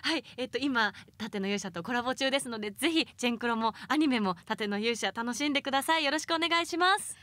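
Female radio guest, polite, bright high voice, quick, very dry studio sound. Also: no room sound. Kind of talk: polite farewell message.

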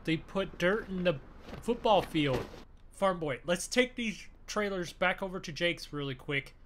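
A man bantering in an improvised gaming roleplay, excitable and full of exclamations, often urging others on. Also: high-pitched, a little slow. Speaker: gaming video creator playing a truck roleplay. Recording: faint background noise.

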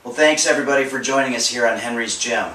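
A young man hosting a home workout parody, explaining each exercise with earnest deadpan delivery and halting restarts. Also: mid-range voice, background noise. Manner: earnest, trailing off and restarting mid-sentence. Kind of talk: deadpan fitness instruction. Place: small bedroom at home. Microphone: camera mic from across the room. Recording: echoey room, voice a bit far from mic.

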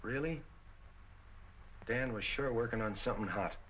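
An actor with an American accent playing a gruff, plain-spoken police captain, terse and colloquial, in a 1940s film. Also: male voice, medium pitch, moderate pace, a bit bright, close to an everyday voice. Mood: businesslike. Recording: dull, hissy 1940 film soundtrack.

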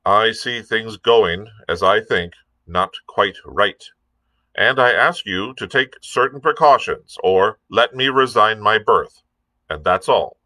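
A man's voice: lowish voice, expressive, takes it slowly, sharp tone, dry recording, voice pushed up, heavily acted, poor audio. A man reading a storybook, voicing a blunt, stern and prickly sea captain in an emphatic, clipped manner. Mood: firm, indignant. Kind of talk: audiobook character reading.

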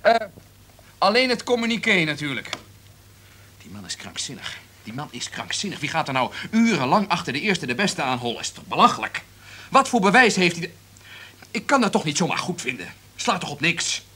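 An actor playing a flustered, indecisive radio executive in a Dutch radio drama, agitated, hesitant and repeating himself. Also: male voice, a little high, sing-song, a little fast, sharp tone, husky, full character voice. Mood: agitated, indignant.

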